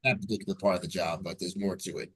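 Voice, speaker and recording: Upbeat man in his forties, low voice. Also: fairly even intonation, fast talker, fairly bright tone, husky. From New Jersey. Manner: upbeat, fluent storyteller. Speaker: radio news anchor and reporter. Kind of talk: interview guest telling his story.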